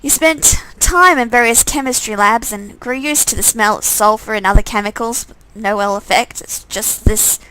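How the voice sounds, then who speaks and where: A woman's voice: fairly high pitch, moderate pace, hardly any pauses, pushed and bright, smooth, poor audio. A woman reading a visual novel game aloud in dramatic narration, breaking off for casual, off-the-cuff comments.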